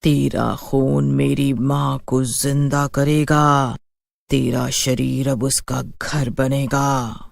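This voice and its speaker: A sinister young witch, acted by a woman, intones her words with long, slow stretches. She sounds like a devoted daughter working dark magic in a horror cartoon. The sound is dry. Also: a bass voice, flat delivery, creaky, no echo, heavily acted. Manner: slow, incantatory, drawn-out.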